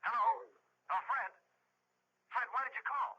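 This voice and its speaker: An actor playing a chatty police lieutenant heard over the telephone in a vintage radio drama. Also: male voice, extremely high pitch, measured pace, slightly rough, full character voice. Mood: puzzled. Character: brisk, chatty male police lieutenant on the phone. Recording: muffled old radio, telephone sound.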